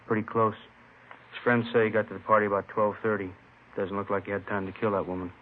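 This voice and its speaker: An actor playing a laconic, deadpan police detective reporting in clipped phrases in an old radio crime drama. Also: male voice, fairly low pitch, quick pace, a little hiss or hum, natural voice. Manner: clipped, subjectless sentences, deadpan. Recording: narrow, tinny old radio recording.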